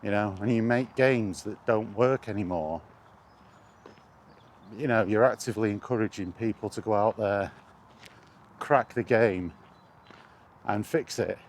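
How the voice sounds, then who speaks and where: A man's voice: lowish voice, unhurried, pauses often, slight background noise. A man ranting indignantly in a casual spoken vlog, recorded while walking outdoors. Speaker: gaming vlogger.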